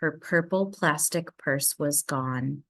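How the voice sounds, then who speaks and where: A woman reading a children's story aloud, narrating expressively with deliberate, dramatic emphasis. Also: deep voice, a bit bright.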